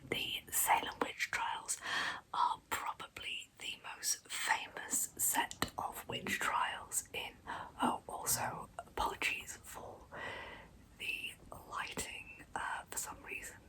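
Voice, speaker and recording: A woman whispering breathily and deliberately as she hosts and narrates a true-crime story in ASMR style. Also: rough recording. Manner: soft, deliberate, slow delivery. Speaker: ASMR video host and narrator.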